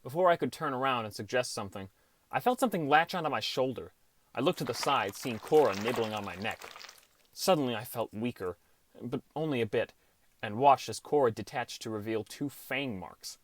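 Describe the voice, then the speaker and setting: A man's voice: mid-range voice, animated, fairly quick, no echo. A man reading a scripted first-person story as an audiobook narrator.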